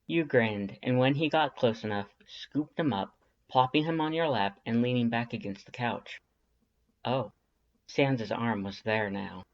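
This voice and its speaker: A man's voice: medium pitch, measured pace. A man reading scripted second-person fiction as an audiobook narrator. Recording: slightly dull lo-fi audio.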